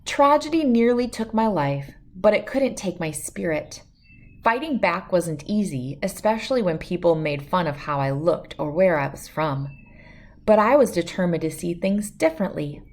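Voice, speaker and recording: A woman reading a children's picture book aloud as a first-person story, with expressive, clearly phrased narration. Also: fairly low pitch.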